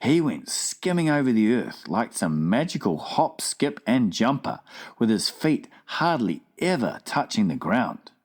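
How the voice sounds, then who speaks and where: A woman's voice: extremely low pitch, sing-song, unhurried, a little mellow. A woman narrating a children's audiobook in a dramatized storytelling style.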